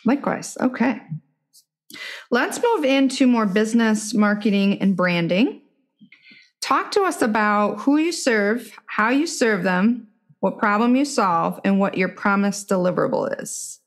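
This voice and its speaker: A woman's voice: medium pitch, expressive, a little slow. Woman podcast host, casual and talkative, mid-range voice. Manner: chatty, asks questions with brief affirmations. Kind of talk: casual interview chat. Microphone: home podcast mic.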